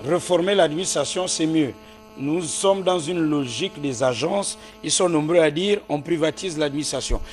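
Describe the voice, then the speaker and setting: A man's voice: on the high side, normal speed, faint music behind. A man hosting a televised political debate in a studio, probing and interrupting his guests with questions. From Gabon. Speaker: TV debate host.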